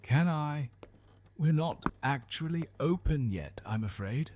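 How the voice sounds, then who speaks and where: An actor playing a nervous, evasive bookshop owner in a British audio drama, hesitant and apologetic, with a creaky voice. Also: male voice, on the high side, expressive, takes it slowly, noisy background. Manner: hesitant, fidgety.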